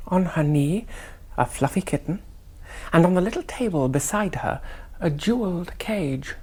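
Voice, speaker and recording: An actor playing a polite, naive young English gentleman in a radio drama, genteel and hesitant. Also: high-pitched, animated, moderate pace, slight background noise. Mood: wondering. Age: young adult. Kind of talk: BBC radio drama acting and first-person narration.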